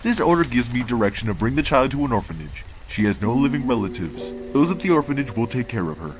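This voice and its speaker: A man's voice: mid-range voice, big pitch swings, fast, music in the background, close to an everyday voice. A man playing a cold, disdainful council envoy, speaking formally and condescendingly in a comic dub. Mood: haughty. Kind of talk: amateur comic dub acting.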